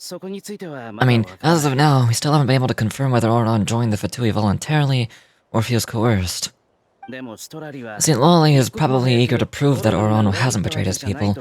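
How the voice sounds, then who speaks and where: A man playing a composed, pragmatic young warrior in fantasy game dialogue, speaking in a measured, matter-of-fact way. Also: mid-range voice, darkish tone, close to an everyday voice. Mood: calm, businesslike.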